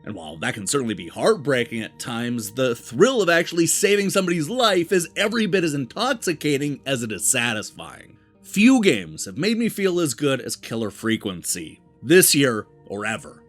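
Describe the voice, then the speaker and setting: Animated man reviewing games over music. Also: medium pitch, normal speed. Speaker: video game reviewer and show host. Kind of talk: scripted review narration. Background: background music.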